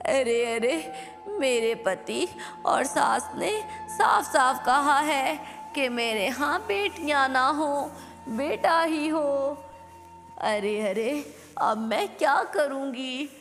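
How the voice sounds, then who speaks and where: Woman as a high-pitched, plaintive mother-to-be bird. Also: animated, extremely slow, a bit bright, heavily acted. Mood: tearful, anxious. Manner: plaintive, worried. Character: distressed young expectant female bird, orphaned and fearful. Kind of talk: Hindi cartoon moral-story voice acting. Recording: long reverb with music behind.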